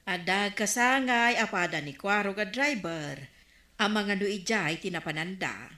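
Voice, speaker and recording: A woman's voice: mid-range voice, measured pace, sharp tone. A woman playing a blunt, chatty village gossip in an Ilocano radio comedy drama, with an Ilocano Filipino accent. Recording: roomy, echoing studio sound.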